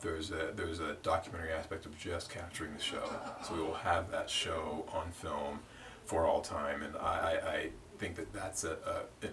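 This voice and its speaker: A man explaining his film work in an earnest, slightly halting interview style. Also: extremely low pitch, little pitch movement, crowd noise. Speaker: documentary filmmaker. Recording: echoey, distant, noisy room.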